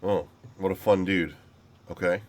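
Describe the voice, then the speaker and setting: Deep-voiced man with a nasal cold. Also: fairly even intonation, a little slow. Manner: rambling, easygoing. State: sick, a little nasal. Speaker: gaming channel host. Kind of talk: casual gameplay commentary.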